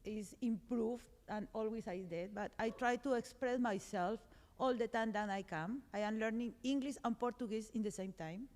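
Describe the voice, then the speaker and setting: A woman from Colombia with a Colombian Spanish accent, speaking English as a second language on a conference panel, earnest and often pausing to find words. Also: a little high, darkish tone. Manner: earnest, searching for words, frequent hesitations. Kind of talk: panel discussion. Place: conference hall. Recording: reverberant conference hall.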